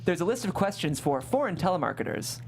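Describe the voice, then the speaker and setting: Low-voiced woman reading stage directions. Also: very animated, fast talker. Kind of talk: scripted narration at a comedy table read. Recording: faint room noise and hum.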